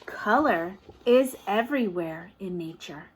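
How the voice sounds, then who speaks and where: Slow, sing-song woman reading to toddlers. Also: medium pitch, lively intonation, a little hiss or hum, low-quality recording. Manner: sing-song encouraging questions to young children. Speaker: children's teacher reading storybook aloud. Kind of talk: interactive picture-book read-aloud for toddlers.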